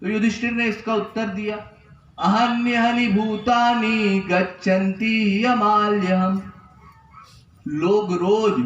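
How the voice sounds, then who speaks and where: Young guy preaching, earnest and repetitive, high voice, far off the mic in a boomy hall. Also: a bit bright, a little hiss or hum. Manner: earnest, repetitive teaching with rhetorical questions. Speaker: spiritual preacher. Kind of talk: Hindi religious discourse. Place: temple hall. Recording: echoey, distant, dull lo-fi sound.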